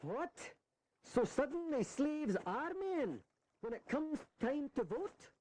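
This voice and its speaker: A man's voice: very high voice, sing-song, takes it slowly, darkish tone, no echo, exaggerated voice, rough recording. An actor playing a principled, indignant Northern statesman in a historical audio drama, formal and sardonic. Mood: incredulous.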